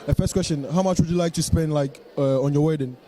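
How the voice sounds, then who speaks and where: Fast-talking male street interviewer. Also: a little high, mellow. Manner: chatty, fillers and restarts, laughs easily.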